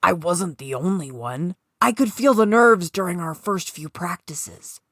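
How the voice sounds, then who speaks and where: Low-voiced woman reading a story, close and dry. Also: expressive, unhurried, dry recording. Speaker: author reading own short story. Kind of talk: scripted narration of a supernatural short story.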